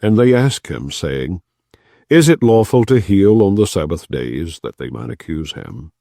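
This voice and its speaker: A man giving a solemn, measured reading of scripture in archaic language. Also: deep voice, very animated, vocal fry, mellow, no room sound, clean studio sound. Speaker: Bible reader.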